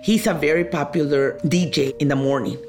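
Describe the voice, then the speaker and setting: A woman's voice: sits low, brisk, background noise. A woman, a radio station manager telling stories in an interview with laughter. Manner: laughing, lively storytelling.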